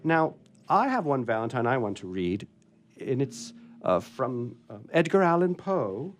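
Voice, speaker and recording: A man's voice: medium pitch, very animated, a little slow. A man hosting a radio theatre show with a playful, theatrical way of talking. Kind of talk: casual banter and poetry reading. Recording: harp music behind the voice. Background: plucked harp music.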